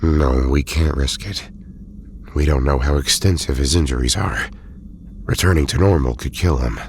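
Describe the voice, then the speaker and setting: A male actor voices a courteous, careful doctor with a rumbling, extremely deep voice. He speaks politely and precisely, a little hesitant, in an audio drama with background noise. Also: normal speed, a little mellow. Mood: concerned.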